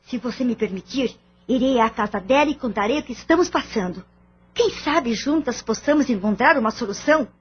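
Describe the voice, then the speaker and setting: Actress as anxious high-voiced Brazilian wife, Idalina. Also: female voice, lively intonation, fairly quick, slightly rough, highs cut off. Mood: worried, confiding. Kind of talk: audio-novel acting, formal scripted dialogue.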